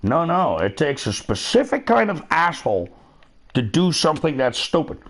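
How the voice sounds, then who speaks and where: A man's voice: on the high side, very animated, moderate pace, creaky, fairly bright tone. A middle-aged man chatting casually while gaming, profane and rambling, laughing often. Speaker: streamer.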